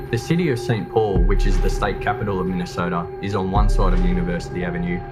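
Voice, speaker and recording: A man narrates a true crime documentary in a very low voice. His delivery is scripted storytelling, with music playing clearly behind him. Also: quick pace. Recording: music bed under the voice. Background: background music.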